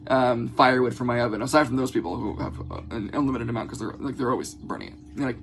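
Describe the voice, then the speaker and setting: A man giving a religious class as a rabbi, reading Hebrew lines and explaining them in English in a halting way, often repeating words. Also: mid-range voice. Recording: clear background noise with a hum.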